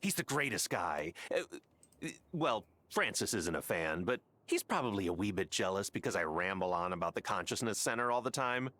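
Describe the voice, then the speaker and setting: An actor voicing a friendly, chatty, excitable male villager in a cozy farming game, gushing and gossipy. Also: fairly low pitch, fairly quick, dry recording. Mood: gossipy, enthusiastic. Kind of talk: video game voice acting.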